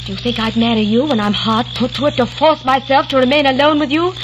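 A woman's voice: high voice, steady tone, fast talker, close to an everyday voice. An actress playing a frightened young woman breaking her engagement in an old radio drama, speaking tense and defiant. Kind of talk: 1940s radio acting. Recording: noisy old radio recording.

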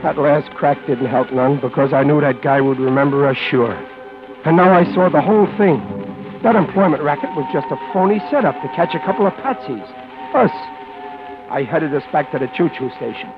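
A man's voice: a little high, fairly quick, lightly acted. An actor playing an ordinary, nervous wisecracking guy in a 1940s radio crime drama, with an American accent and slangy, stammering delivery. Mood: wry, weary. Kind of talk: 1940s radio acting, hardboiled first-person narration. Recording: tinny old radio broadcast with loud music.

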